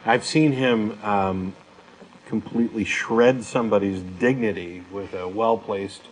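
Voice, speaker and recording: A man with a fairly low voice speaks as a co-host. He comments conversationally, as in a studio discussion. Faint noise sits behind his voice. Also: fairly even intonation, unhurried, poor audio. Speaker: talk show co-host. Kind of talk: conversational commentary on an atheist talk show.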